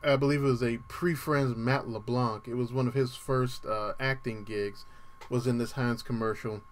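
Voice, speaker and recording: A man's voice: medium pitch, fairly even intonation, moderate pace, a little hiss or hum. Chatty man with a dry voice. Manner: chatty, with frequent ums and throat clearing. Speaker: music show host. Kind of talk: casual radio-style show talk.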